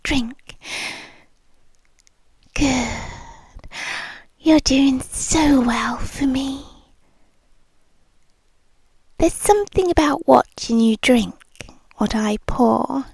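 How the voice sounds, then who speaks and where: Breathy, whispering British actress as possessive girlfriend. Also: high-pitched, darkish tone, dry recording, full character voice. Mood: possessive, coaxing. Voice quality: breathy, often dropping into whisper. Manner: slow, teasing, lingering pauses. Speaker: ASMR roleplay performer. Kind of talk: whispered ASMR roleplay. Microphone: close-up ASMR microphone.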